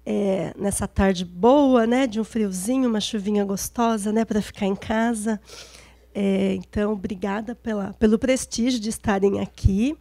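A middle-aged Brazilian woman, slightly hoarse, giving a conversational public lecture into a handheld microphone, often adding small checking tags. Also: medium pitch, fairly even intonation, normal speed, mellow, dry recording. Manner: informal, frequent checking tags like "né". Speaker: lecturer, gerontology specialist. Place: event stage before seated audience, indoors.

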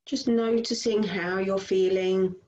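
A woman leading a guided body scan meditation as a teacher, giving gentle instructions in short phrases with unhurried pauses. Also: medium pitch, fairly even intonation, muffled recording.

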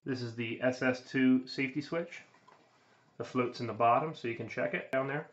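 Male HVAC tech host, mid-pitched, plain how-to delivery, dull point-of-view camera audio. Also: moderate pace. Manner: plain, explanatory, pointing things out. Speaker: HVAC technician and channel host. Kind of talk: hands-on instructional walkthrough. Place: indoor utility closet, later outdoors by a heat pump. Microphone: camera-mounted mic, speaker filming himself point-of-view.

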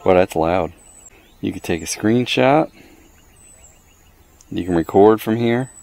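A man's voice: on the low side, slow, vocal fry, a crowd in the background. A man giving a casual hands-on product walkthrough outdoors, plainspoken and matter-of-fact, in short clipped phrases. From the Americas. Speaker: product reviewer. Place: outdoors under a canopy in a wooded yard.

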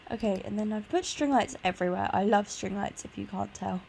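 Female gaming host, casual walkthrough narration, sore throat and tired, creaky voice over audible background noise. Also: medium pitch, a little fast, a little mellow, low-quality recording. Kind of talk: casual gameplay tour commentary.

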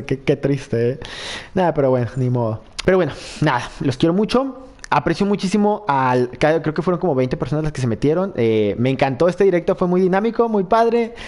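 A young Mexican man with a Mexican Spanish accent, streaming a game live and chatting playfully as he reads comments. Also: darkish tone. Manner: playful, chatty, laughs often, jumps into high squeals. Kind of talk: live-stream gameplay chat reading comments.

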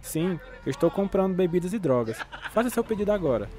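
A man's voice: high voice, very animated, quick pace, warm tone. A man playing a cocky teenage school friend in a radio drama.

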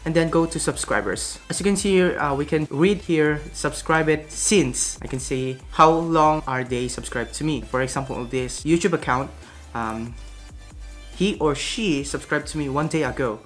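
A man's voice: fairly high pitch, normal speed, fairly bright tone, soft background music. A young man from the Philippines, hosting a casual gaming vlog from his room and walking viewers through a giveaway. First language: Tagalog. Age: young adult. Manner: chatty, repeats himself, gestures with his hands while explaining. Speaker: gaming channel host. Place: small room indoors. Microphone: webcam at desk.

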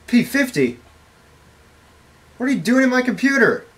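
A man's voice: very high voice, sing-song, moderate pace, fairly bright tone, a little hiss or hum. A young man acting in an amateur comedy skit with exclamatory, exasperated delivery, recorded on a camera in a bedroom. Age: young adult. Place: small home bedroom, indoors.

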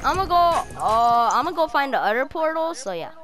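A woman's voice: high voice, very animated, normal speed, music in the background. A woman chatting casually as a player in an improvised game roleplay.

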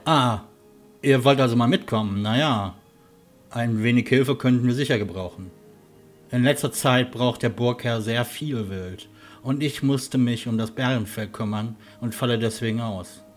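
Man as castle huntsman, friendly, helpful, a little hesitant, fantasy audio drama, mid-pitched, music behind. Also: moderate pace, natural voice. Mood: friendly, curious.

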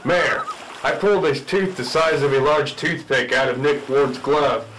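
A man's voice: on the high side, fairly even intonation, a little slow, fairly bright tone. A man playing an earnest, blunt young fish expert in a spoof radio drama, explaining insistently. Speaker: amateur radio drama actor. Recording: noisy track with background ambience.

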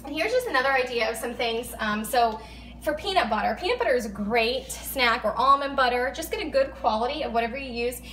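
A woman's voice: a little high, expressive, brisk, fairly bright tone. A woman in her thirties hosting a casual cooking vlog in her home kitchen, chatty and upbeat, with frequent ums as she shows her food. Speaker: vlog host. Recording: echoey kitchen, faint hum.

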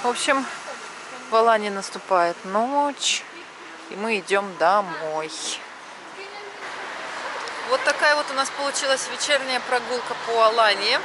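A woman in her thirties talking to a handheld camera while walking, drawing out her words and stressing final syllables. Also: a little high. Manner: chatty, drawn-out vowels, stress on last syllables. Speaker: vlogger. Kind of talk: walking vlog narration. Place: city street at night, outdoors. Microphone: action camera at arm's length. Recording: street noise outdoors.

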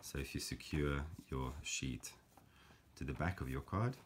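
A man calmly explaining a paper craft step by step, in a gentle, measured way with frequent fillers. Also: rumbling low, a little hiss or hum. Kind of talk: calm step-by-step craft tutorial.

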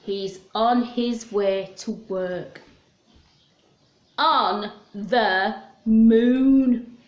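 Slow-speaking Englishwoman reading to children. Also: a little high, highs cut off. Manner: simple child-directed talk with questions. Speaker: children's storytime presenter. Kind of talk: reading a picture book aloud to children. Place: small room at home, indoors. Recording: roomy, echoey home recording.

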